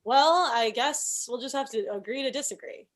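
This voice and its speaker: Woman playing a blunt, high-ish voiced coworker. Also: moderate pace, close to an everyday voice. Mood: oblivious. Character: blunt, oblivious, cheerfully meddling female show coworker. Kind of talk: comedy script table read.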